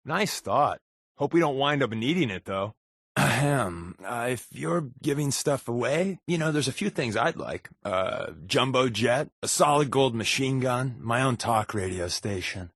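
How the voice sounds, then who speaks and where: An American man playing a wisecracking, sly undercover agent in a video game, talking in casual quips with a deadpan, sardonic manner. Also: medium pitch, slightly rough, dry recording, clean studio sound, heavily acted. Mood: wry, joking. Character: wisecracking, sly adult male undercover agent, habitual fibber. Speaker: companion character in a video game.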